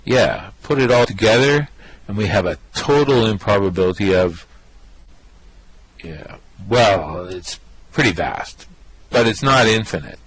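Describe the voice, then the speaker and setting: A man playing a brash, vain, excitable space rogue in a radio play, with cocky, slangy bluster. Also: lowish voice, unhurried, slightly rough, dry recording, exaggerated voice, rough recording. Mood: working it out. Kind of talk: amateur radio play acting.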